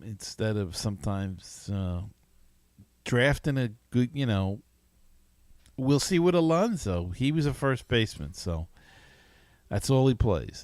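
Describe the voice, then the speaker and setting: A man hosting a sports podcast, talking casually in a halting, conversational way. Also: lowish voice, darkish tone, dry recording. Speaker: podcast host.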